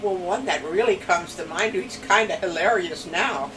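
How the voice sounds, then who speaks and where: A woman's voice: on the low side, moderate pace. Woman in her seventies, Pennsylvania Dutch accent, chatty rambling storyteller, distant mic, noisy background. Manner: chatty, rambling, laughs between stories. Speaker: grandmother being interviewed. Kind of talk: casual family storytelling.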